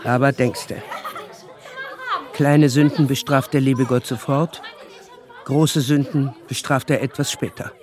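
A woman's voice: rumbling low, steady tone, takes it slowly, long pauses, crowd noise. A woman narrating a radio play in a dramatic, scripted style. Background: music.

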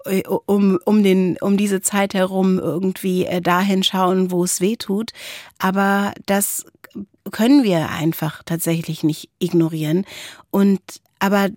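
A woman's voice: medium pitch, level tone, unhurried, a little mellow, dry recording, studio quality. A woman in a reflective podcast conversation, co-hosting and thoughtfully searching for her words. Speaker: podcast co-host.

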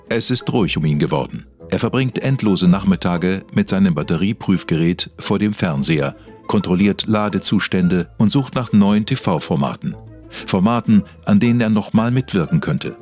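A man narrating a comedy mock documentary from a script in an earnest, deadpan manner. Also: low voice. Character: earnest male documentary narrator telling absurd stories.

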